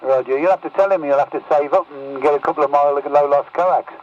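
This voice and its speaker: Bloke with highish voice chatting on cb, muffled and super staticky. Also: male voice, fairly even intonation, fast. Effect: CB radio transmission. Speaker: radio operator. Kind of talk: casual CB radio chat. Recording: muffled, very noisy CB radio.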